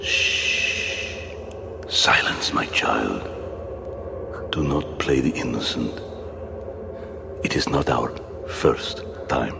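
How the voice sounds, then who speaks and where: A man playing a menacing vampire count in a radio drama, speaking in a hushed, commanding murmur over a murky, noisy recording. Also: rumbling low, gravelly, heavily acted. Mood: sinister, mocking. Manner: slow, hushed, sinister murmur.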